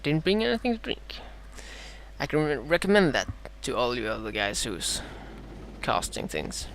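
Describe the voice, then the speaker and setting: A Swedish-accented man with a slightly dry throat talks through his own strategy game match as a beginner commentator, at a middle pitch. He stops and restarts often, filling the gaps with "uh" while he fixes his wording. A faint hiss of noise sits under his voice. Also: very animated, gravelly, a bit bright. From Sweden. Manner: halting, frequent "uh" fillers and self-corrections. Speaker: gameplay commentator.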